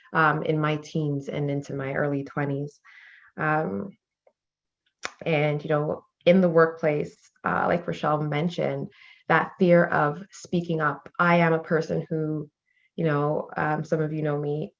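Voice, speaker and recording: Low-pitched woman in her thirties, candid panelist, muffled stream sound. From Canada. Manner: earnest, many fillers, rising intensity when upset. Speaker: panel guest.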